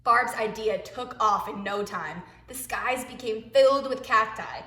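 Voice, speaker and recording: Echoey, high-voiced woman reading to small children. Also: unhurried. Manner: expressive, with hushed asides and gasps to young listeners. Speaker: preschool teacher. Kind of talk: animated picture-book read-aloud for young children. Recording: echoey room.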